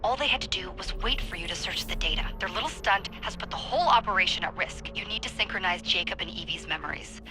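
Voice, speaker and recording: A woman's voice: medium pitch, fast, few pauses, lightly acted. A woman playing a businesslike, urgent mission handler in a video game cutscene. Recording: game dialogue over music.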